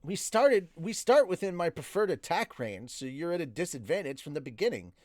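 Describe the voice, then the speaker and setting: Man voicing a high-pitched blunt young swordswoman. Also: very animated, fairly quick, darkish tone, no echo, studio quality. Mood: earnest. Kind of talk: audiobook-like novel character reading.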